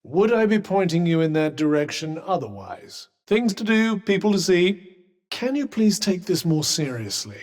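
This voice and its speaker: A man's voice: sits high, very animated, normal speed, darkish tone, big-room sound. An actor playing a wry, teasing male magic cuff in a game, speaking in a well-spoken, sardonic way. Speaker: game companion character. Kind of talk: game voice lines.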